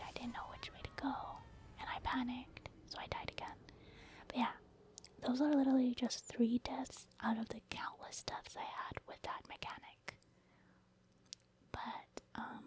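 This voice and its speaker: A woman's voice: a little high, a little mellow. Whispering woman, casual gaming ASMR. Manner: casual, rambling, conversational. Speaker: gaming ASMR creator, commentator. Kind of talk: whispered ASMR gameplay commentary.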